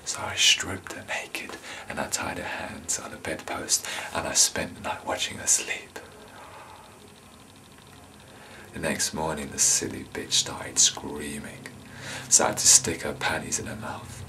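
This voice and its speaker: An actor playing an insane, menacing killer and resentful loner, confessing in a volatile dramatic monologue. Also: male voice, low voice, moderate pace, a little hiss or hum. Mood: hushed, chilling. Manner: confessional, volatile, swinging from hushed to explosive.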